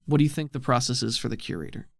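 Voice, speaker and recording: Creaky-voiced male game master. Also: medium pitch, animated, very fast, no room sound, studio quality. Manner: easygoing, explanatory, reads rules aloud. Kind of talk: narrating and explaining a tabletop game.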